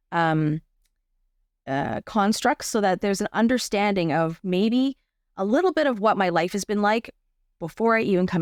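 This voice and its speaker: A woman's voice: on the low side, no room sound, studio quality. A woman giving a casual, explanatory interview as a guest, often checking in with the listener. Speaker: podcast guest, arts advocate and workshop teacher.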